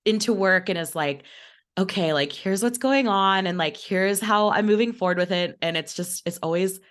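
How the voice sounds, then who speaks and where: A woman's voice: mid-range voice, normal speed. A bubbly, effusive woman chatting casually on a podcast, sounding a little under the weather with a cold. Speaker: podcast team member, operations manager. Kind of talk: casual behind-the-scenes podcast chat.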